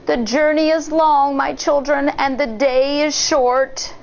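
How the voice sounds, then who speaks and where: A woman voicing a cunning old wolf who poses as a sweet grandmother, coaxing slowly in a sugary way. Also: sits high, steady tone, vocal fry, lo-fi sound, slight background noise, voice pushed up, full character voice. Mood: coaxing, sly.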